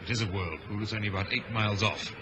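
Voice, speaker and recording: A man playing a brisk, dutiful ship's chief officer in a vintage radio drama, crisp and urgent. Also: on the low side, natural voice. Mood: alarmed. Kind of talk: old radio drama acting. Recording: noisy vintage radio recording.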